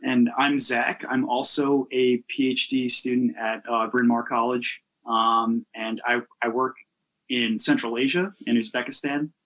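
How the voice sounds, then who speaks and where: A man's voice: mid-range voice, level tone, measured pace, fairly bright tone, poor audio. A young man speaking casually as a podcast guest, introducing himself. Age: young adult. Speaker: podcast guest, PhD student.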